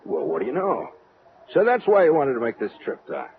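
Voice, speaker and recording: Breezy, cocky space major, tinny sound. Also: male voice, medium pitch, huge pitch swings, brisk. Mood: puzzled, probing. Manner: informal, slangy, cocky. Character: breezy, confident middle-aged male Air major, easygoing spaceman. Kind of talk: old radio drama acting. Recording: thin phone-like old radio recording.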